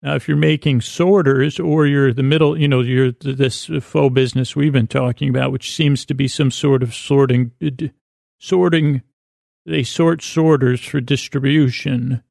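A man's voice: mid-range voice, steady tone, normal speed, creaky, a little mellow, no echo, studio quality. A man hosting a podcast with a meandering, silly bedtime monologue, mumbling, stammering and repeating words as he goes. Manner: silly, self-deprecating. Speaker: podcast host. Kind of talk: rambling, whimsical bedtime monologue.

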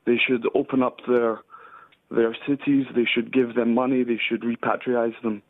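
A man with an Ayrshire Scottish accent calling in to a radio show, earnest and hesitant, pausing often. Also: mid-range voice, level tone, no echo. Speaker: radio caller. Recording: tinny dry phone line.

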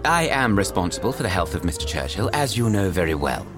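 A pompous, formal royal physician. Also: male voice, deep voice, very animated, quick pace, music in the background. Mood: guarded. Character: proud, pompous older male royal physician. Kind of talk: radio drama acting.

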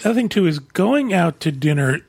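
A man with a husky voice hosts a relaxed podcast conversation. He steadily guides the talk and asks questions. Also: a little high, brisk. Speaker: podcast host. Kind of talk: casual chat.

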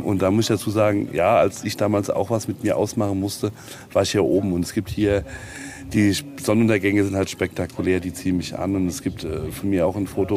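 A man's voice: low voice, mellow. A man being interviewed casually, talking reflectively and often searching for his words.

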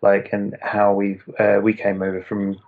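A man hosting an interview, asking hesitant, soft-spoken questions. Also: on the low side, monotone, a little slow, slight background noise. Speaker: interviewer host. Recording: dull lo-fi call audio.

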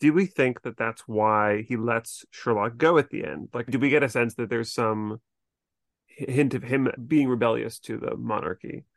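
Very low-voiced woman hosting, close and dry. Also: normal speed, no room sound. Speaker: podcast host. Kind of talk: casual chat, asking discussion questions.

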